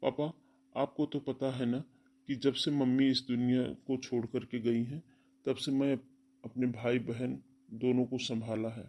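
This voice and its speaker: A man's voice: mid-range voice, little pitch movement, a little slow, warm tone, natural voice. A man voicing a stern, domineering elder brother, firm and insistent, in a cartoon family melodrama.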